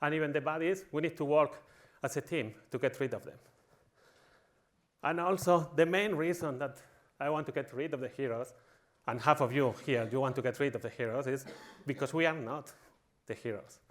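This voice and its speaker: A man's voice: mid-range voice, normal speed. A man from Spain with a Spanish accent, giving a humorous conference talk in a tongue-in-cheek, mock-villainous manner. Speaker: conference speaker.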